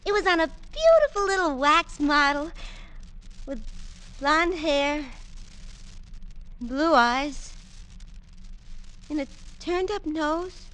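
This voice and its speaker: Anxious, high-voiced young wife. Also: female voice, expressive, takes it slowly, pauses often, fairly bright tone, full character voice, rough recording. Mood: chatty, then uneasy. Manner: breathless, hesitant stammering. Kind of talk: 1940s radio acting. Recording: vintage radio recording.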